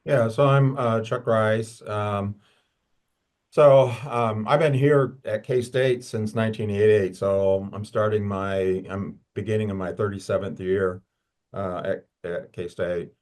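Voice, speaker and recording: An older man, answering conversationally and haltingly as an interview guest. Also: lowish voice, fairly even intonation, a little mellow. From Illinois, United States. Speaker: guest, university soil scientist.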